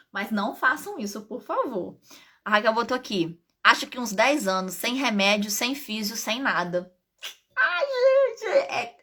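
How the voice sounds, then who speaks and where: Slightly hoarse Brazilian woman chatting live. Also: on the high side. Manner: chatty, lively, with frequent asides and laughs. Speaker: integrative therapist and pharmacist. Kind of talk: casual live stream talk to viewers.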